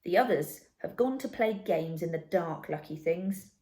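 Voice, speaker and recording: A woman voicing an excited, friendly little boy in a children's storybook, exclamatory and enthusiastic. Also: lowish voice, unhurried. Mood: proud, wistful.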